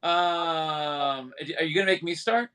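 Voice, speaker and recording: A man co-hosting a casual podcast over his cell phone, speaking in a halting, hesitant way. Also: fairly high pitch, pushed and bright, clear, resonant tone. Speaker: podcast co-host.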